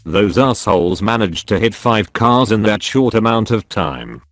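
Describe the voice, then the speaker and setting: A man reading out first-person stories as a steady, even narrator. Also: lowish voice, unhurried, no echo, studio quality. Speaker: narrator reading online posts. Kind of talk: scripted narration. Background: soft background music.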